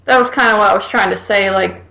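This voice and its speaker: Woman, podcast co-host, strong vocal fry, mid pitch, casual slangy film chat. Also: fast, sharp tone, rough recording. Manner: slangy, heavy use of "like".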